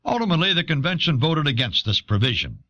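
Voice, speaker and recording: A man reading scripted historical narration in a measured, authoritative manner. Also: a little high, huge pitch swings, sharp tone. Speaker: documentary narrator. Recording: dull old audio cassette.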